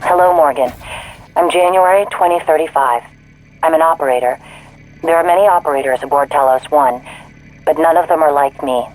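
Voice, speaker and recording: Game AI operator male actor: slightly high, measured, matter-of-fact guide, light music bed. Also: lightly acted, low-quality recording. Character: composed artificial intelligence robot operator guiding the player. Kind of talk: video game guide dialogue.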